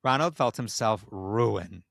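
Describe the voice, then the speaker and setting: A man reading comedic erotic fiction aloud with expressive, story-like narration, as a podcast host. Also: lowish voice, takes it slowly, creaky, no room sound, clean studio sound.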